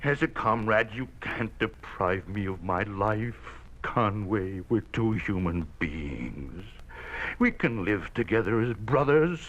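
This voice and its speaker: A man's voice: lowish voice, lively intonation, slow pace, fairly bright tone. An actor playing a proud, desperate working family man, earnest and emotional, in an old time radio drama. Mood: desperate pleading. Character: proud, desperate husband, a working man pleading for his horse. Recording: dull old radio recording.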